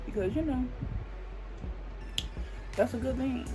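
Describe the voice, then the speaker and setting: A woman's voice: sits high, takes it slowly, music in the background, poor audio. A woman in her twenties chatting casually to camera while doing her makeup, rambling and restarting her sentences, with speech. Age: 20s. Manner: rambling, thinks aloud with many restarts and "like". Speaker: beauty vlogger.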